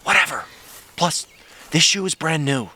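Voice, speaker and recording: A man's voice: fairly high pitch, expressive, moderate pace, sharp tone, slightly rough, full character voice. An actor playing a nervous, skittish, chatty fashion-savvy man tagging along as a sidekick in an audio drama.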